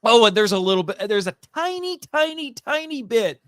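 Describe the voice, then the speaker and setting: A man chatting casually as a podcast guest, animated, often interrupting himself and thinking out loud. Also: very high voice, normal speed, no room sound, studio quality. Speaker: guest, audio drama writer and voice actor. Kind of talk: casual fan chat.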